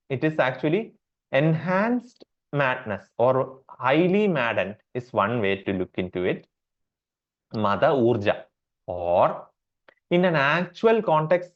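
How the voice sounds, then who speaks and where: A man with an Indian accent teaching a class on Sanskrit verses, repeating each term slowly with many fillers. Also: mid-range voice, highs cut off. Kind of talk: explanatory class lecture.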